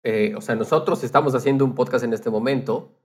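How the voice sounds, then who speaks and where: A man speaks as a podcast guest in a casual, conversational style, with an average-pitched voice. He sounds like someone from audio production talking shop in an informal exchange. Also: brisk, darkish tone.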